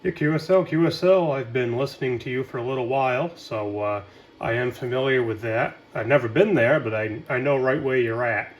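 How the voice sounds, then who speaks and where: A man from Maine chatting casually on ham radio, rambling and relaxed. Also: medium pitch, fairly quick, dull audio.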